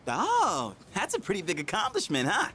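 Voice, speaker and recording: Cheeky teenage boy, excitable, glib, very animated pitch, video game dialogue, faint music. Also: normal speed, heavily acted. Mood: sheepish. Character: eager, cheeky teenage high school boy. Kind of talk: English video game voice acting.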